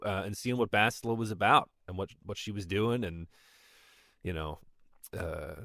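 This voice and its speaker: Low-voiced young man, halting and rambling. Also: a bit bright, no echo, studio quality. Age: late 20s. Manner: halting, rambling, self-deprecating. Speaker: voice actor, podcast guest. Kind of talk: casual conversation about a fan project.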